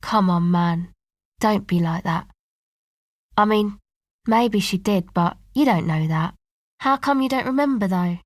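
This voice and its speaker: A woman's voice: mid-range voice, a little slow, long pauses, no echo, studio quality, lightly acted. A woman voicing a laid-back, streetwise young woman in a video game, with a casual, slangy, offhand delivery that is blunt but sympathetic. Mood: sympathetic, frank. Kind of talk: naturalistic video game dialogue.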